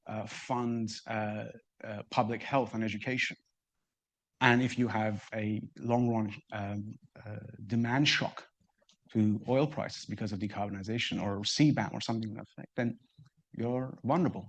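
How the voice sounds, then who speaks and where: A man presenting at a seminar and answering questions in a hesitant way, often stammering and repeating words as he goes. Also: mid-range voice. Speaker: research fellow presenting seminar. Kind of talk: seminar talk and audience Q&A.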